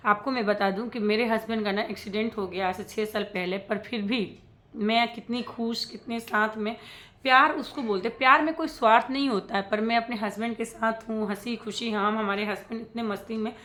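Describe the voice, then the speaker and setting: A woman in her thirties with a somewhat high-pitched voice speaks as a casual reaction vlogger. She addresses viewers directly and emphatically, repeating herself and slipping English words into her opinions. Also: fairly even intonation, brisk, a bit bright.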